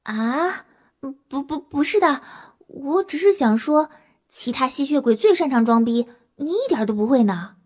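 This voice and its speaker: A woman's voice: high-pitched, expressive, a little slow. A woman voicing a meek but blunt young human in an audio drama, hesitant and understated. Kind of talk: Chinese audio drama acting.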